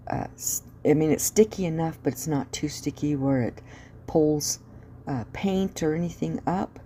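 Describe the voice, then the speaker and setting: A woman's voice: deep voice, mellow, slight background noise. A woman hosting a craft tutorial, chatting casually as she works, with a sniffly nose and a rambling delivery full of ums and uhs. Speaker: craft channel host.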